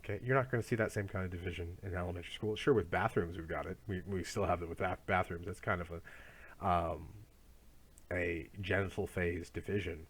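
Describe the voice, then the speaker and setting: A man with a low voice lectures to a class in an easy, conversational way. He often stumbles and restarts his sentences as he explains. He sounds like he is in his thirties. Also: fairly even intonation, fairly quick, a bit bright, husky. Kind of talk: recorded college lecture.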